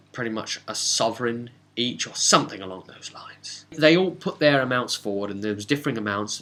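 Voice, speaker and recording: A man's voice: medium pitch, sharp tone. A British man casually rambling through a recap as game master, chatty and wry, often interrupting himself. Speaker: tabletop role-play game master and host. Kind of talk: casual rambling recap of a role-play session.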